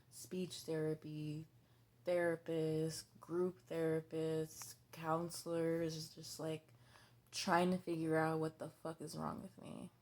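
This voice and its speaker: A young woman in her twenties with a low, gravelly, creaky voice, speaking slowly and haltingly. She restarts her sentences often and fills gaps with fillers. Her talk is blunt and candid, with frequent swearing. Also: darkish tone. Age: 20s. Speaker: vlogger. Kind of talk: personal confessional vlog.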